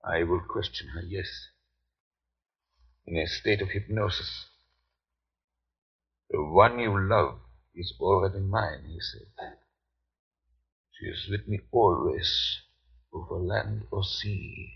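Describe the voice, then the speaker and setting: An actor playing a grave, commanding doctor who hunts vampires, formal and urgent in old-time radio drama style. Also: male voice, sits low, slow, gravelly, long pauses, slightly rough, full character voice. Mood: grave, probing. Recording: muffled, grainy old radio recording.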